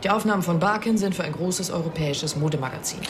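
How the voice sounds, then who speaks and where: A woman's voice: lowish voice, fast talker, background noise, natural voice. A woman playing a composed blonde fashion photographer who speaks in an earnest, matter-of-fact way. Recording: studio radio play with music bed.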